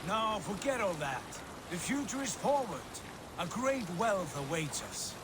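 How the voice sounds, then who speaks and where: A man's voice: high-pitched, sing-song, unhurried, background noise. A man playing a proud, visionary Viking warrior in a video game, speaking in solemn, grandiose, archaic phrases. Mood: proud, musing. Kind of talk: dramatic video game cutscene acting. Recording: music behind the voice.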